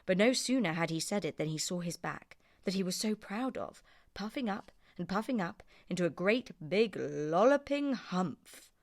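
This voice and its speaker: A woman's voice: on the low side, animated, moderate pace, no echo, clean studio sound. A woman narrating a children's story expressively from a script.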